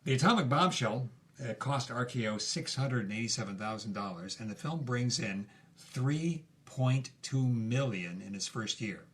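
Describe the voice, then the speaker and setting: A man's voice: medium pitch, animated, moderate pace, fairly bright tone. A man co-hosting a podcast, telling film history in a conversational style. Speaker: podcast co-host.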